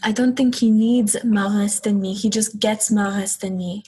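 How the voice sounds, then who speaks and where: A woman's voice: mid-range voice, steady tone, normal speed, warm tone, breathy edge, lightly acted. A young woman playing a plaintive, questioning girl, an older sister, in a radio play. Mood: tired, complaining. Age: young adult.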